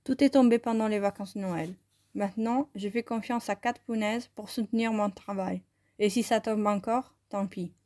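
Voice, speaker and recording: Calm woman reading. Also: medium pitch, moderate pace. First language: Italian. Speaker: photography student presenting her work. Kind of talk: reading a scripted self-interview.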